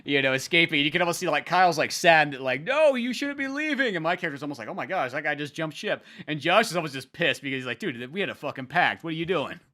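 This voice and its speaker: A young adult man co-hosting a podcast, giving casual, animated commentary on a comic, with slang, swearing, acted-out lines and frequent laughter. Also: fairly high pitch, quick pace, hardly any pauses. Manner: laughs often, acts out lines and sound effects; slangy and profane. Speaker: podcast co-host and comic creator.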